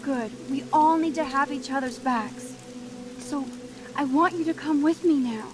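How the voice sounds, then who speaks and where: Earnest high-voiced teenage girl, rain behind. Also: a little slow. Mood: pleading, reassuring. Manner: earnest, coaxing. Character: earnest, determined teenage schoolgirl pleading with friend. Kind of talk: dramatic video game acting. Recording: clearly noisy, rain pattering behind.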